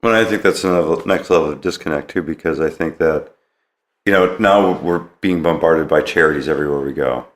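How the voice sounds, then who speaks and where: Deep guy doing a casual podcast interview, kinda echoey room. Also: fairly quick. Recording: roomy, echoey sound.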